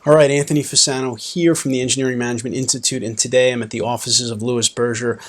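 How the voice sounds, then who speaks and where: A man's voice: medium pitch, level tone, quick pace, no echo. A man hosting an engineering podcast, reading a polished, upbeat introduction and then interviewing a guest in an office. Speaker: podcast host.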